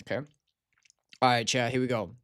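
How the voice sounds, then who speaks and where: A man's voice: medium pitch, steady tone, moderate pace, fairly bright tone, slight background noise. A tired young man from Taiwan, chatting to viewers on a livestream in slangy, swearing speech. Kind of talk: casual livestream chat.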